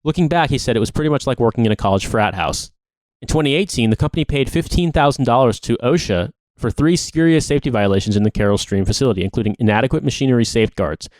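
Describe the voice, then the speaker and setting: A man's voice: medium pitch, quick pace, a little mellow, no room sound. A man co-hosting a podcast, reading a long article aloud. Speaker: podcast co-host.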